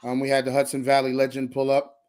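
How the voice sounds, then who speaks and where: A man's voice: medium pitch, monotone, fast, darkish tone, clean tone. A man co-hosting a casual basketball talk show, upbeat and welcoming, thanking listeners and bringing on a guest. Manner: upbeat, talks over his co-host, says thank you often. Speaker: co-host. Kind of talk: casual podcast chat.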